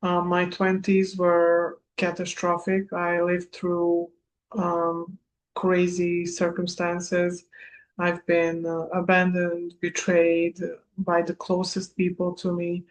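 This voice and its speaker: A woman in her thirties from Serbia, a native Hungarian speaker, giving a recorded spiritual coaching class in a measured manner. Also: fairly low pitch, monotone, poor audio. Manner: slow, measured, with small laughs. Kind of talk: recorded masterclass talk.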